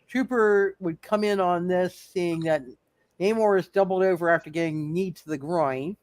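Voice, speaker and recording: A man with a fairly high voice acts as game master for a superhero roleplay session. He talks in halting bursts, often repeating words as he works through the rules. The sound is dry, with little room in it. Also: dry recording. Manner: halting, repeats words while thinking aloud. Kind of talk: running a live tabletop superhero roleplay game.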